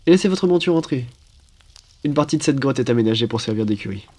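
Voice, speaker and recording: A man playing a hospitable, wise phoenix in a French roleplay, speaking in a calm, reassuring and attentive way. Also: mid-range voice, fast talker, husky. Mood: welcoming, reassuring. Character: wise hospitable male phoenix welcoming travelers into his cave. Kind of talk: French roleplay ASMR storytelling.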